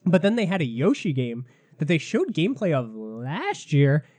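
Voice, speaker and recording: A man hosting a casual gaming podcast, a little sick and raspy, chatty and loose, laughing often. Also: on the high side, huge pitch swings, normal speed, darkish tone. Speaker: podcast host.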